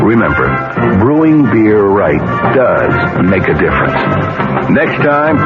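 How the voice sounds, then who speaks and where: A man reading a 1970s radio commercial in a conversational, persuasive manner. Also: on the low side. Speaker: commercial announcer. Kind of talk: 1970s radio beer advertisement. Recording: muffled old radio broadcast. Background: loud music.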